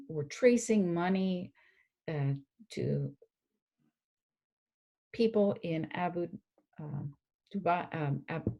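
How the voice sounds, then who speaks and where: A woman giving an informal expert briefing on a panel, halting as she searches for words. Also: deep voice, mellow. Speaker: regional security expert on a panel.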